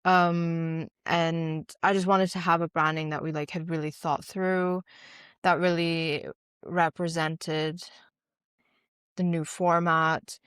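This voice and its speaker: A woman co-hosting a podcast in relaxed, chatty talk, explaining at length with many fillers. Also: low-pitched, takes it slowly, smooth, dry recording, studio quality. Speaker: podcast co-host. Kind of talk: casual chat.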